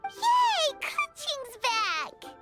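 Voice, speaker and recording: A childlike, excitable little girl companion from a cartoonish game. The pitch is extremely high and swings wildly, though the pace is unhurried. It is squeaky and cheeky. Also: exaggerated voice. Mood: delighted. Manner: squeaky, expressive, halting when puzzled. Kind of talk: cartoonish video game character acting. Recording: playful music loudly behind.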